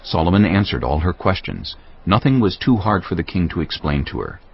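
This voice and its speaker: Deep-voiced man narrating scripture with expressive range. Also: brisk, dull audio, slight background noise.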